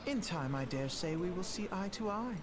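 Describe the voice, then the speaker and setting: A man playing a self-assured young noble brother in a fantasy game, speaking in lofty, measured, formal phrases. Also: high voice, expressive, crowd noise. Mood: smug, assured. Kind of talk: scripted fantasy game cutscene acting.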